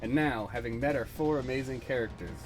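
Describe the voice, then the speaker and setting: A man's voice: mid-range voice, fairly quick, over music. A man giving dramatic, scripted comic-book narration in a portentous manner.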